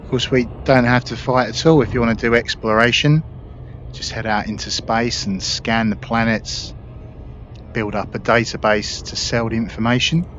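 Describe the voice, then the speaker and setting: A man hosting a gaming video with casual, relaxed, explanatory commentary. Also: mid-range voice, moderate pace. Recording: slightly dull audio, faint game noise.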